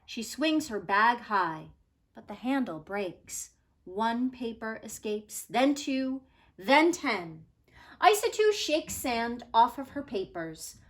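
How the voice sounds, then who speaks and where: Slow, lively woman reading aloud. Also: on the high side. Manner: deliberate, expressive storytelling delivery. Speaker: teacher reading aloud to students. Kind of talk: expressive read-aloud of a children's picture book.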